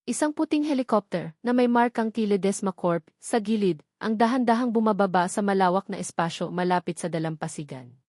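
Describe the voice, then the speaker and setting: A woman narrator with a Filipino accent tells a scripted romantic drama. Her delivery is measured and theatrical, broken into short phrases with pauses. Her voice sits at a medium pitch, in a close, dry recording free of room sound. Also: animated, a little mellow, no room sound.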